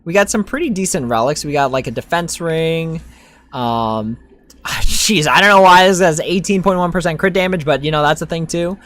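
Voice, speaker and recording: A woman's voice: deep voice, expressive, normal speed, fairly bright tone. A woman giving casual gameplay commentary as a streamer, thinking aloud in an informal way. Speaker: game streamer.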